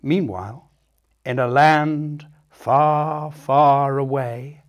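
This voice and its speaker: A man reading a children's story aloud in an expressive, dramatic and deliberate way. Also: medium pitch. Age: 50s. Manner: animated, dramatic, deliberate, addressing young listeners.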